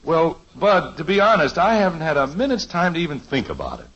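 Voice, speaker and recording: Flustered sitcom dad, husky, lively hesitant delivery, lo-fi old radio sound. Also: male voice, fairly high pitch, brisk, exaggerated voice. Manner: hesitant, stalling with many fillers. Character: flustered good-natured suburban father. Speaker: father in a family radio sitcom. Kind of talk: 1950s radio sitcom acting. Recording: dull lo-fi old radio recording.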